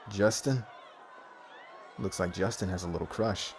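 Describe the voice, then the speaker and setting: A man's voice: low-pitched, a little slow, some chatter behind, slight background noise. A man playing a cocky, teasing gang leader in an ASMR roleplay, smug and fearless, with a flirtatious streak. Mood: mocking, taunting.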